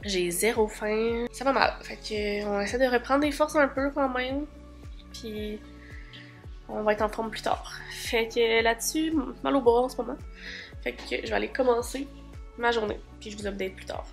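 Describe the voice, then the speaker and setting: A woman's voice: a little high, normal speed, soft background music. A woman in her twenties with a Québécois French accent, chatting casually in a vlog diary while stuffy from a cold, full of fillers. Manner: chatty, many fillers like "fait que" and "tu sais". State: congested from a cold. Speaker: vlogger.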